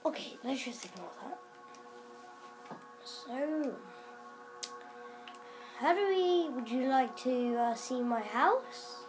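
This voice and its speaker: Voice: high-pitched, animated, slow, pauses often, over music, low-quality recording. A child improvising role-play commentary while playing a video game, hesitant and coaxing, recorded in a living room in front of a TV. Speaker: player making a game video. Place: living room, filming a TV screen.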